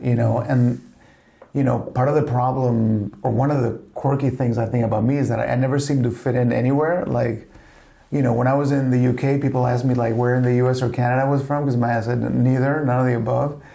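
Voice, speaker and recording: A man's voice: mid-range voice, vocal fry, mellow. A middle-aged man from Spain with a slight Spanish accent, telling family stories in a rambling casual chat full of "you know". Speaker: family podcast guest.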